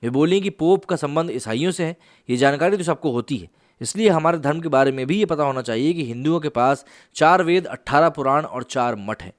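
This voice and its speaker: A man's voice: on the high side, lively intonation, a little fast. A man explaining the news as a channel host, mixing in some English words. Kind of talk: news explainer commentary to camera.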